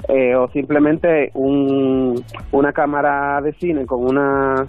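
Man, Dominican accent, medium pitch, interview guest, conversational, tinny phone-like band, faint music. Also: little pitch movement, slow. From Dominican Republic. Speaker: interview guest, artist and designer.

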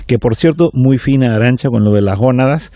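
A man's voice: mid-range voice, a little fast, mellow, no room sound, studio quality. Reflective man lecturing on a panel. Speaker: university lecturer, panel guest. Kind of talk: panel discussion.

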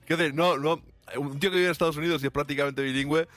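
A man's voice: a little high, fairly even intonation, fast talker, a bit bright, dry recording. A man, co-hosting a podcast and chatting casually in a hesitant way, with many restarts. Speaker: podcast co-host. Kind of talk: casual chat.

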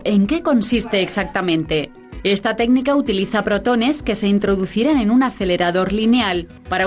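A woman reporter reads a scripted explainer in a mid-pitched voice. Soft music plays underneath her narration.

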